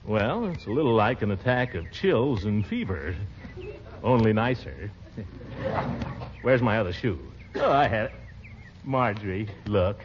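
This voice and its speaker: Jovial, bumbling uncle, wildly swooping low voice. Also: male voice, expressive. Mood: fond, distracted. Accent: American. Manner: chuckling, blustery, drawn-out exclamations. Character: bumbling, jovial, sentimental middle-aged uncle. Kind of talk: 1940s radio comedy acting. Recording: muffled, noisy vintage 1940s radio recording.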